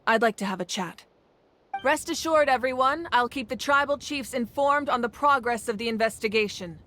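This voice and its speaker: A woman voicing a composed, authoritative female ruler in a video game, speaking with measured calm. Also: a little high, natural voice. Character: commanding yet gentle female ruler of a nation. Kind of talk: game voice acting.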